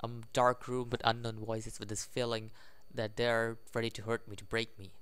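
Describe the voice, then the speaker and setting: A man's voice: mid-range voice, little pitch movement, moderate pace, a bit bright, smooth, no echo. A man giving casual playthrough commentary, reading game text aloud and tossing in jokey side remarks. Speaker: gaming video host. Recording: faint music under the voice. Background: video game music.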